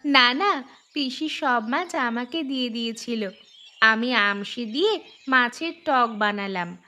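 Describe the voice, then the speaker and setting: Young cartoon housewife, woman acting, talkative village mother, high-pitched voice over clear background music. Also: takes it slowly, sharp tone. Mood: friendly. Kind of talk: Bengali village cartoon dubbing. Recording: music behind the voice.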